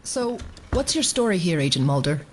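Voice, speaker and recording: An actress playing an exasperated, authoritative federal assistant director in an audio drama, clipped, commanding and drily sardonic. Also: female voice, on the low side, big pitch swings, moderate pace, darkish tone, a little hiss or hum. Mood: stern, sardonic. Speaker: senior FBI official.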